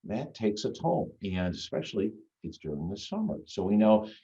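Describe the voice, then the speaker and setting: A man with a deep, full radio voice, chatting as a guest on a video call in an easygoing, rambling way. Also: sing-song, normal speed, mellow. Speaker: guest magician. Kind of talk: casual chat on a video call. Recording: dull lo-fi video-call audio.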